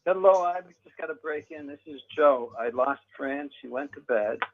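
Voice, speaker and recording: An older man speaks in a gravelly, husky voice over muffled call audio. He is folksy and effusive, a caller in a friendly group chat. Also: fairly high pitch, moderate pace.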